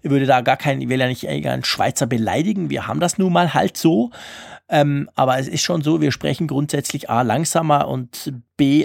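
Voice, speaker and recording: A man's voice: medium pitch, creaky, dry recording, studio quality. A man from Switzerland with a Swiss accent, talking casually and at length as co-host of a tech podcast, in a self-deprecating way.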